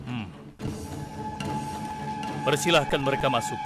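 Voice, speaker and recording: A man's voice: mid-range voice, unhurried, loud music behind the voice, natural voice. A man acting a stern, proud and devout prophet-king in a cartoon, speaking in a solemn, declamatory way. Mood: composed. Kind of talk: formal dramatic cartoon acting.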